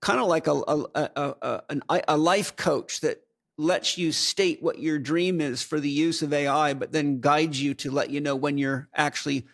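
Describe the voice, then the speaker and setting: A man's voice: a little high, steady tone, moderate pace, creaky, a bit bright. A man hosting a podcast, thinking aloud and building long ideas with hesitations in a conversational interview. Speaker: podcast host.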